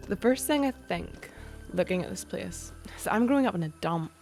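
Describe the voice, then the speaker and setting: Casting: woman as wry Northern Irish schoolgirl, medium pitch, musing inner monologue, longing for more than survival, music beneath. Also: lively intonation, normal speed, darkish tone, close to an everyday voice. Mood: wry, restless. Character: restless, wry schoolgirl dreaming beyond survival. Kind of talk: audio drama acting, inner monologue.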